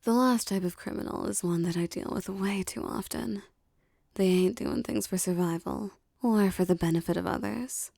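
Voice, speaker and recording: Casting: woman to play tough mafia girlfriend for ASMR roleplay, soft and teasing, mellow low-lit tone, very dry close sound. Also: normal speed, vocal fry, dry recording. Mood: intimate, serious. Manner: intimate, teasing, streetwise tough talk. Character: tough young female mafia boss, affectionate with her lover.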